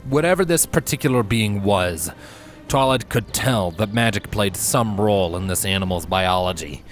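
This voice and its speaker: A man's voice: on the low side, lively intonation, moderate pace. A man reading a scripted fantasy story aloud as its narrator. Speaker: fan fiction reader, narrator. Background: background music.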